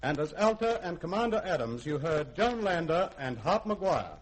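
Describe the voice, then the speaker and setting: A man's voice: on the high side, very animated, normal speed. A man, a radio producer formally and precisely reading scripted credits. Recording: faintly noisy vintage radio recording.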